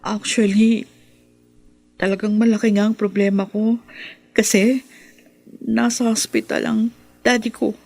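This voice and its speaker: Mahiyaing dalagang problemado, medyo paos. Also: female voice, medium pitch, level tone, a little slow, creaky, long pauses, a little mellow. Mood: humble, worried. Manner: earnest, hesitant. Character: humble, troubled young woman desperate for work. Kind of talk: radio drama acting.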